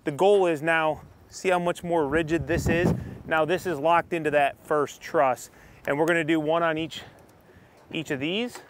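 A man's voice: on the high side, moderate pace. Man narrating a build outdoors in wind. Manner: relaxed, explanatory, chuckles often. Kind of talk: casual on-site narration. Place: outdoors on a lift at a windy build site. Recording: windy outdoor site noise. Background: wind and machinery.

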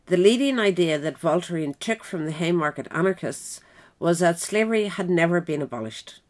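A woman narrating a written biographical essay in a measured, formal way. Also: lowish voice, lively intonation, a little mellow, faint music behind. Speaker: narrator, reader.

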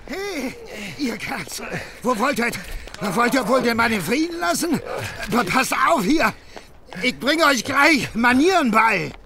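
A man's voice: extremely high pitch, very animated, measured pace, a crowd in the background, heavily acted. An actor in a German radio play voicing a good-natured, tipsy working-class husband with a Berlin accent, rambling and slurring as he wheedles. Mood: bold, helpful.

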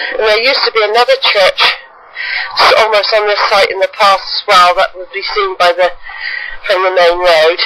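A middle-aged English woman thinking aloud in casual, rambling field notes, recorded on a handheld tape recorder. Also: sharp tone. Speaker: family history researcher. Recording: noisy low-fidelity handheld cassette recorder.

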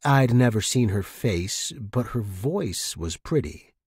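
Male podcast narrator, expressive and slightly raspy, dry recording. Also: fairly low pitch, takes it slowly, dry recording. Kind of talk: scripted narration.

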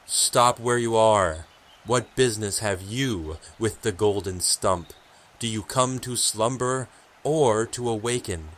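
A man plays a stern, mysterious male forest guardian in an audio drama. He is low-voiced, slow and portentous, with dark amusement and faint noise behind. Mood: challenging. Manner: portentous, deliberate.

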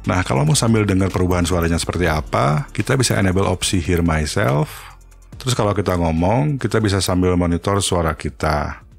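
A man giving an informal, step-by-step software tutorial. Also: sits low, lively intonation, brisk, vocal fry, slightly rough, soft background music. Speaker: tutorial host.

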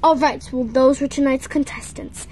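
A young girl performing every voice in a playful toy talent-show skit, bubbly and excitable. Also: sits high, normal speed. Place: indoors at home, small room.

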